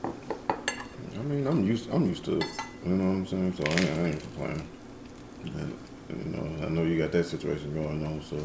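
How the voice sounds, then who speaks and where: Laid-back deep-voiced man in his thirties. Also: creaky, reverberant, noisy background. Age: 30s. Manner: laid-back, informal, a bit halting. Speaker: channel co-host. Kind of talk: casual chat.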